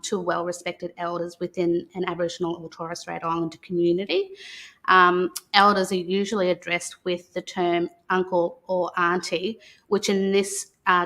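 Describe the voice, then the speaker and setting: A woman with an Australian accent speaking as a guest on a children's live stream, explaining things in a measured, halting, courteous way. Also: on the low side, bright, forceful tone.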